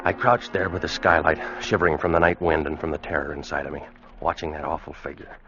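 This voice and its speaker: Deep-voiced haunted American sailor. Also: male voice, fairly even intonation. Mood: haunted, tense. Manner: grave, haunted, measured storytelling. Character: weakened, fearful American sailor recording his doom. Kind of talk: first-person radio drama narration. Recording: muffled vintage radio recording, music behind. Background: dramatic music.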